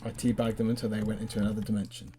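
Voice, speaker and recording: A man's voice: on the low side, flat delivery, racing, warm tone, slightly rough. Playful man chatting over game music. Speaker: gaming video co-host playing the game. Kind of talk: casual chat while playing a game.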